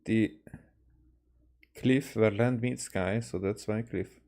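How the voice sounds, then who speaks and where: A man's voice: lowish voice, fairly even intonation, takes it slowly, vocal fry, darkish tone. A man from Hungary, giving casual let's-play commentary as he mutters and thinks aloud. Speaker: game streamer.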